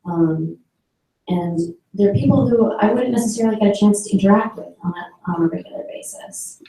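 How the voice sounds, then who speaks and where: Dark-voiced woman, distant and echoey. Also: medium pitch, normal speed, gravelly. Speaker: photographer. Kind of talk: personal talk about her work. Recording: echoey hall, heard from a distance.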